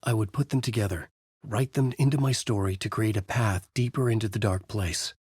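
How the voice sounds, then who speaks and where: An actor playing a haunted, desperate horror writer, narrating in a brooding, intense noir style for a video game. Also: male voice, on the low side, a little fast, a little mellow, breathy edge, no room sound, clean studio sound. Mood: brooding, grave. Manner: brooding, intense, terse delivery. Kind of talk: dramatic first-person noir narration.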